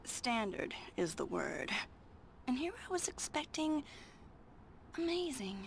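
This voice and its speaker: A woman's voice: high voice, big pitch swings, slow pace, vocal fry, background noise, full character voice. A woman playing a teasing, flirtatious cat burglar in a video game, with a drawling, mocking delivery. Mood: cool mockery. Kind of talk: video game cutscene acting.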